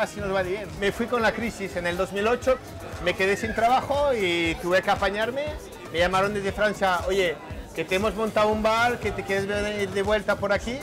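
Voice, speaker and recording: A man who runs a bar, talking in a casual, conversational way. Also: sits high. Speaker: bar owner. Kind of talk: casual chat.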